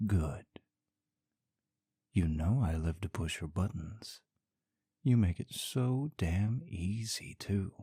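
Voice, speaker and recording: A man's voice: sits low, slow pace, long pauses, mellow, breathy edge. A young man performing a scripted audio roleplay as a sarcastic, teasing rival co-worker. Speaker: voice actor playing a smug rival co-worker.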